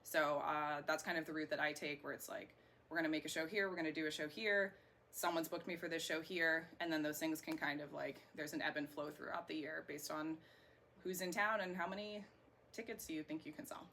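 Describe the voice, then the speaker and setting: A woman's voice: sits low, fairly even intonation, fairly quick, pushed and bright. An American woman in her thirties from Florida, giving chatty, rambling answers in a casual interview. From Florida, United States. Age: 30s. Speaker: interviewee, singer and burlesque performer. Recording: clear background noise.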